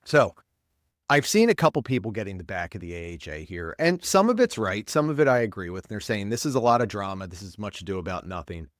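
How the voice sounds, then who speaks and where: A man's voice: on the low side, very animated, fast talker, dry recording, clean studio sound. Congested, blunt man ranting. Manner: rambling, blunt, opinionated. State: congested, stuffed-up voice. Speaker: podcast host, paramedic. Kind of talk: casual solo commentary.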